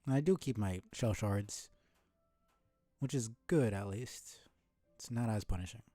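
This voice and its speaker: A man's voice: fairly low pitch, unhurried, dark tone, no room sound, faint music behind. A man doing casual live commentary as he plays a video game, muttering to himself with mild swearing.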